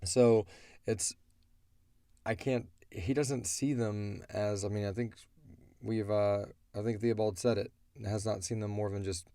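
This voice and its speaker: A man with a stuffy, congested voice chatting casually and low-key as a host answering fan questions. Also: dark tone, no echo, studio quality. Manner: low-key, unenthusiastic-sounding. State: congested from a cold. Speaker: host of an audio drama Q&A show.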